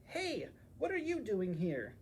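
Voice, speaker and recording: A man voicing a bossy, excitable little girl hedgehog starting kindergarten in a children's storybook. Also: high voice, takes it slowly, voice pushed up, exaggerated voice. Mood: indignant. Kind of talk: children's picture book read-aloud.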